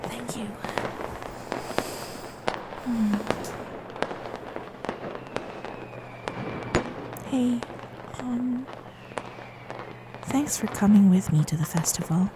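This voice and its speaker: A woman voicing a sweet, playful fox-spirit girlfriend in an ASMR roleplay, coy and affectionate, with soft hesitations. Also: a little high, animated, slow pace, long pauses, noisy background. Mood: tender, intimate. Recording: festival crowd and fireworks sound effects.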